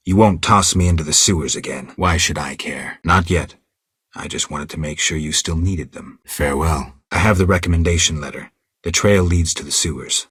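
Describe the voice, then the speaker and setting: A world-weary, wry monster hunter from a fantasy video game. His voice is extremely deep and heavily husky, recorded close and dry. He speaks in terse, restrained, deadpan phrases with a gruff, stoic temper. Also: no room sound, heavily acted. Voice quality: gravelly, husky low voice. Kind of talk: video game character dialogue.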